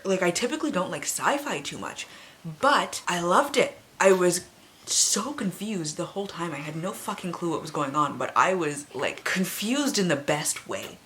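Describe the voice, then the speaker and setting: Woman, late 20s, low register. Casual book vlogger, candid, self-deprecating, loosely rambling. Faintly noisy home recording. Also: a bit bright. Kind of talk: casual, chatty book vlog.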